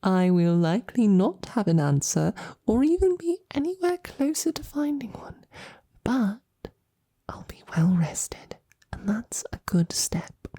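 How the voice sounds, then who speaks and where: Woman playing a soft, breathy, halting female knight. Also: medium pitch, sing-song, full character voice. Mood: fond, decisive. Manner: halting, tender, gently teasing. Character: loving, flustered female royal knight courting a princess. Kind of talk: intimate roleplay audio drama.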